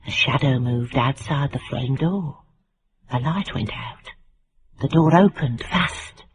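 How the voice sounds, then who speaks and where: An actress playing a middle-aged British private detective, blunt and dryly wry, in radio drama dialogue and noir narration. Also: female voice, a bass voice, slow, a little hiss or hum. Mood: wry, storytelling.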